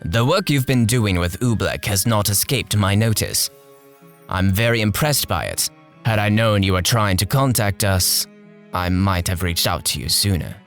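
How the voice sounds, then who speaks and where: A man acting a composed, diplomatic lord in a fan dub, with a formal and measured delivery. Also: fairly low pitch, faint music behind, lightly acted.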